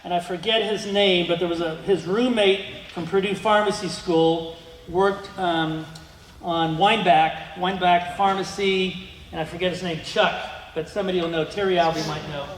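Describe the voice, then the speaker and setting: A man's voice: high voice, fairly even intonation, moderate pace, slight background noise. A middle-aged man giving a rambling museum talk about his family, full of ums and restarts. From United States. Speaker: guest speaker. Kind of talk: museum lecture with slides. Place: museum lecture room. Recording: echoey hall with long reverb.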